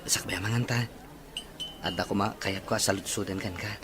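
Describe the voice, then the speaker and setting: A man acting a sincere young working man in love in a radio drama, soft-hearted and earnest. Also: on the low side, fairly even intonation, brisk, very noisy, close to an everyday voice. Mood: earnest, pleading.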